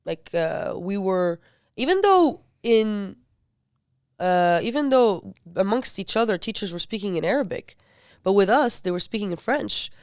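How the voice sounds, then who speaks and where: A woman's voice: mid-range voice, animated, unhurried, clear, resonant tone, dry recording, studio quality. A young woman from Lebanon, a podcast guest, chatting casually with lots of "like" and acting out the people she quotes. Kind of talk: casual chat.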